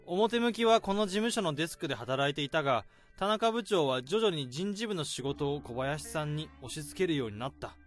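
An actor playing an overworked male office worker laying out a case, in a radio drama, speaking calmly and methodically. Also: on the high side, brisk, hardly any pauses, faint music behind, natural voice. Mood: explaining. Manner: calm, methodical explaining.

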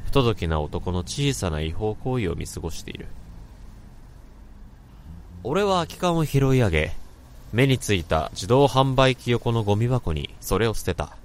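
A man's voice: on the low side, very animated, moderate pace, a little hiss or hum. An actor playing a weary, cynical middle-aged office worker who narrates his own story with dry, sardonic wryness in a radio drama. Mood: brooding. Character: weary cynical male salaryman, inward-looking.

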